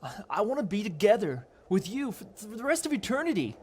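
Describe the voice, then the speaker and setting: A man's voice: mid-range voice, unhurried, slight background noise, lightly acted. A man playing an impatient, lovestruck teenage boy in a motion comic, earnest and pleading.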